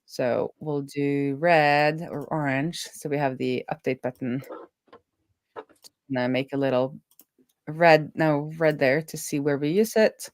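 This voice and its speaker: Woman, low voice, casual coding commentary, informal, thinking out loud, close dry sound. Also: steady tone, slow pace, no room sound. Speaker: live-streaming web developer and host.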